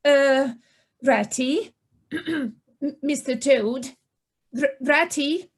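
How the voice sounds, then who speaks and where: A woman acts out a small, anxious meadow creature in an audio play. The voice is high and slow, very polite, hesitating whenever flustered. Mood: flustered alarm. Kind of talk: amateur audio play acting.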